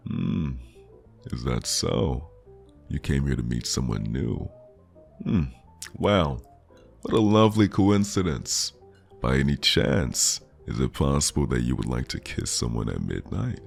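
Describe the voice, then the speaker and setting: Very deep, gravelly flirty man, voice-acted. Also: sing-song, takes it slowly, long pauses, soft background music, full character voice. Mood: playful, coaxing. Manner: smooth-talking, teasing, lingering delivery. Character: flirty charming male stranger at New Year's party. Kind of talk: scripted ASMR roleplay, intimate and teasing.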